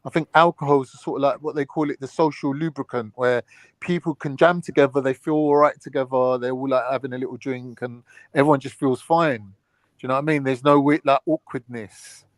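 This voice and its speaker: A man's voice: mid-range voice, fairly even intonation, brisk, dry recording. Chatty man rambling on a panel. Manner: chatty, rambling, many "like"s and "you know what I mean". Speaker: podcast panelist. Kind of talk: casual debate chat.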